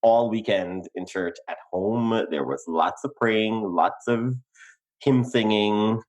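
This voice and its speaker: A young adult man from Guyana with a Guyanese accent, telling his life story in casual podcast chat, animated and quick to laugh. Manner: animated, laughs easily, emphatic pitch jumps. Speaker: podcast co-host.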